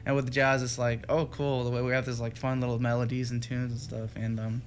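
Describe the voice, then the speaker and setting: A man's voice: mid-range voice, little pitch movement, a little fast, a little hiss or hum. A young man from Texas, a music student guest, chatting casually in an interview with many fillers and restarts. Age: young adult. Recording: radio studio with faint music behind.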